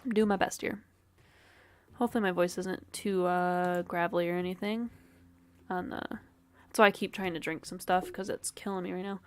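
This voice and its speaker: A woman's voice: on the low side, fairly even intonation, normal speed, long pauses. Sniffly woman streamer thinking aloud. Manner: thinks aloud, swears casually, muttering asides. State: sniffly with a dry throat. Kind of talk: casual game livestream commentary.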